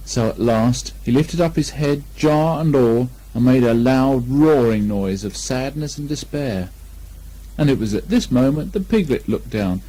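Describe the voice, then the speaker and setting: Male children's story narrator: mellow, slightly husky, expressive, very varied intonation. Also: mid-range voice, unhurried. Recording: lo-fi 1980 amateur recording.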